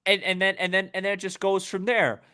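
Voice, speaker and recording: Sports podcast guy, voice a bit on the high side, opinionated, repeats stuff to hammer it home. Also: level tone, a little fast, sharp tone, clear, resonant tone. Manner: emphatic, repeats phrases for stress. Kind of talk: casual opinionated sports chat.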